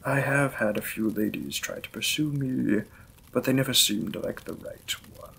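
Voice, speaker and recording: A man playing a courteous, reserved Victorian vampire lord in a romantic audio drama, speaking in formal, gentle, polite phrases. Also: medium pitch, normal speed, gravelly, a little hiss or hum, poor audio. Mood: reflective, tender.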